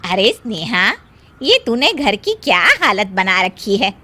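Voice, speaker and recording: A woman's voice: mid-range voice, very animated, unhurried, bright, forceful tone, heavily acted. A woman voicing an exasperated middle-aged aunt who chides her relatives in a moral story. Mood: astonished, chiding. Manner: chiding. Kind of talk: acted dialogue in a Hindi moral story.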